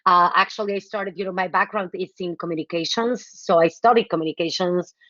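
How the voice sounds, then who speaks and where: A woman's voice: on the low side, steady tone, a little fast, no room sound. Woman from mexico in her 40s chatting in an interview, lots of ums, muffled dry recording. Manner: warm, chatty, laughs often, many fillers. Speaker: guest, nonprofit executive director. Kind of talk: casual interview chat.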